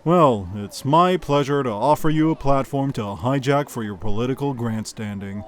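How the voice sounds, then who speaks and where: A man's voice: mid-range voice, normal speed, background noise, natural voice. A man playing a smug, sarcastic news host in a comedy audio show, delivering parody bulletins and ad reads with dry showmanship. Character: smug, sardonic male news show host stirring up drama.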